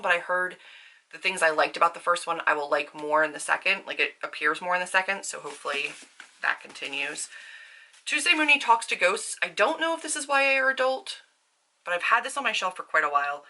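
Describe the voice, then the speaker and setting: A woman with a fairly deep voice and a very bright, forward tone. She talks casually and upbeat, like a vlogger at home, with informal phrasing and little asides. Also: lively intonation, brisk. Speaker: book vlogger and author. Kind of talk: casual chatty vlog. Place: indoors at a desk at home.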